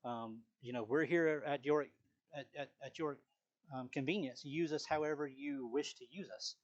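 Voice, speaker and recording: A man's voice: on the high side, normal speed, highs cut off. A man leading a live sales training on a video call, talking casually with frequent fillers and restarts.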